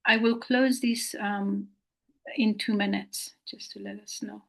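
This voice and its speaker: A woman in her fifties from northern Iran, soft-spoken and unhurried, introducing herself on a video call. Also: medium pitch, steady tone, vocal fry. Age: 50s. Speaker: co-host of prayer gathering. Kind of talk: casual introduction. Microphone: video call microphone.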